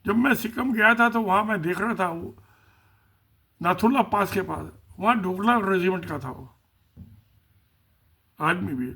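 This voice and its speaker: A man's voice: sits high, measured pace, vocal fry, long pauses, bright, forceful tone, slightly rough. A man giving casual spoken political commentary, musing and repeating himself, asking rhetorical questions.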